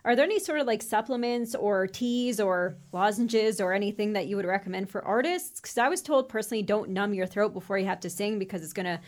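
A woman's voice: medium pitch, animated, a little fast, few pauses. A woman interviewing a guest, casually reading out audience questions.

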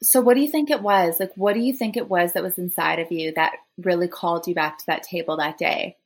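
Canadian woman, expressive casual storyteller. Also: fairly low pitch, a little fast. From Thunder Bay, Canada. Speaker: podcast host.

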